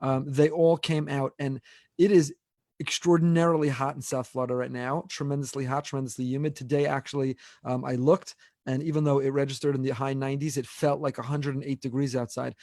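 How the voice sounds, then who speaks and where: A man in his forties talks quickly and earnestly as a podcast host, hurrying through his thoughts with frequent restarts and repeated words. His voice sits mid-range, recorded close and very dry with no room sound. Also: medium pitch, level tone, dark tone, no echo. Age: 40s. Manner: earnest, quick and talkative, repeats words while building a point. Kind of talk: unscripted podcast discussion.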